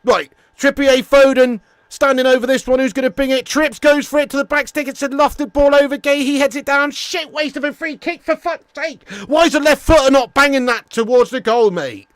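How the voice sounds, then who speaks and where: Male football stream host: high-pitched, matey and sweary, bantering with chat, close dry sound. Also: moderate pace, hardly any pauses, a bit bright, no room sound. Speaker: livestream host of a football watch-along. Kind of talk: casual reactive match commentary and chat.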